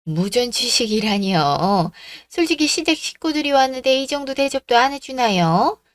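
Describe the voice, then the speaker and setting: A brazen middle-aged mother-in-law, acted out by a woman, scolding and blustering in a radio drama. The voice is fairly high and indignant, recorded close and dry. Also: lively intonation, normal speed, no room sound, natural voice. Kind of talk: radio drama story acting.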